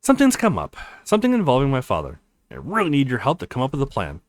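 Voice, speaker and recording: A man's voice: medium pitch, wildly swooping pitch, quick pace, no room sound. A man voicing an earnest young college guy who explains his scheme in a visual novel read-aloud.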